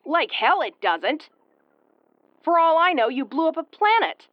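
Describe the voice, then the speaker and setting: A woman's voice: high-pitched, very animated, measured pace, no echo, dull audio, faint music behind. Woman acting a brusque tomboy starship commander, close and dry. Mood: shocked, worried. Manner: brusque, stammering when flustered. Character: tough tomboyish tsundere woman starship commander, prickly but caring. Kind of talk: intimate audio roleplay acting.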